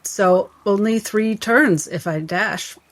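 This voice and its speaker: A woman's voice: mid-range voice, takes it slowly. A woman playing a tabletop game, narrating her character's moves.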